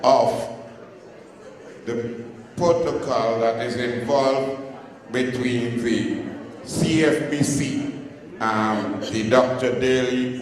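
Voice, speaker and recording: A man, jovial and chuckling as he puts questions to the head table at a public meeting. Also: medium pitch. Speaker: audience member asking questions. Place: large public meeting hall. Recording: echoey hall with long reverb.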